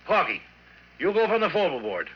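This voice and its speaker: A man's voice: high-pitched, huge pitch swings, fairly quick. An actor playing an irritable, bossy oil-crew boss in a 1940s radio drama, impatient and scornful as he calls out orders. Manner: impatient, scornful, shouted delivery. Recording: dull, noisy vintage radio recording.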